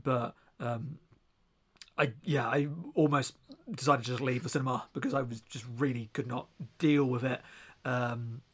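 A man's voice: medium pitch, steady tone, moderate pace. Man rambling earnestly on a podcast. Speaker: podcast co-host. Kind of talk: casual podcast chat.

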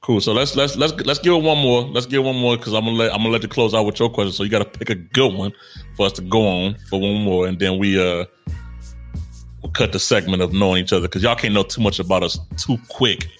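A man's voice: on the low side, fairly even intonation, fast talker, soft background music. A young man, co-hosting a casual talk show, talking loosely with lots of fillers, restarts and "you know what I'm saying". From United States. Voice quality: strong, loud voice. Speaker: podcast co-host. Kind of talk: casual conversation.

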